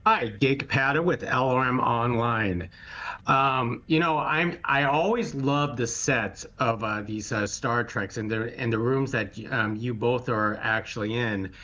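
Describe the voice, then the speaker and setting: A man's voice: medium pitch, unhurried, sharp tone. A man asking a question as a journalist, hesitant and full of fillers. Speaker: entertainment journalist. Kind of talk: press junket question. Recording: dull lo-fi audio.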